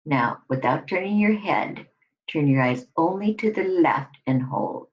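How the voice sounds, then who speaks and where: A woman giving a scripted guided relaxation exercise, instructing deliberately in short phrases with pauses between them. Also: deep voice, animated. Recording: dull lo-fi recording.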